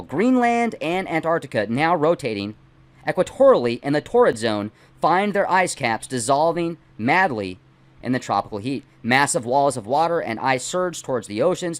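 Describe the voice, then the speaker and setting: A middle-aged man, not a native English speaker, hosting and reading aloud in deliberate, emphatic phrases with casual asides. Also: medium pitch. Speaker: host reading a book aloud and commenting. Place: small dim indoor home studio.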